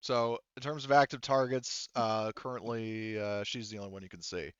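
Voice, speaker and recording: Man, game master, smooth clear voice, casual exclamatory narration, dry slightly dull recording. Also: fairly low pitch, steady tone, moderate pace, dry recording. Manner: informal, exclamatory, swears freely. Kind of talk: casual tabletop game narration and rules adjudication.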